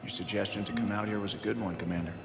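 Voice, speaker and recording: An actor playing a grieving, loyal military pilot in a sci-fi game, reflective, subdued and sincere. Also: male voice, lowish voice, steady tone, racing, vocal fry, long reverb tail, over loud music. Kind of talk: video game voice acting.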